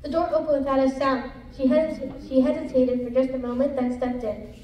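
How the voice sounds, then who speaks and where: Mellow female reader, echoey and distant. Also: high voice, fairly even intonation, a little fast. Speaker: student narrator in a reader's theater performance. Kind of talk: scripted narration read aloud on stage. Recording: echoey room, distant mic, background noise.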